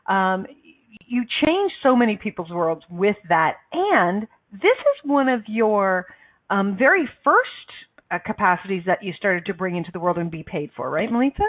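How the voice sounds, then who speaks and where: A woman's voice: mid-range voice, big pitch swings, moderate pace. A woman hosts her radio show in easy, chatty talk, now and then tripping over a word. Her voice comes through thin and narrow, like a phone call. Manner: chatty, stumbles over words. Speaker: radio show host, coach. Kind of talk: casual radio chat. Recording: phone-line call-in audio.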